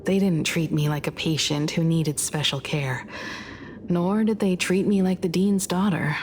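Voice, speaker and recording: Actress as a lowish-voiced, poised scholarly woman. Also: fairly even intonation, darkish tone, a little hiss or hum, lightly acted. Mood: wistful, reflective. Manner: measured, articulate, reflective. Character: poised, thoughtful, gentle scholarly young woman. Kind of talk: video game story dialogue.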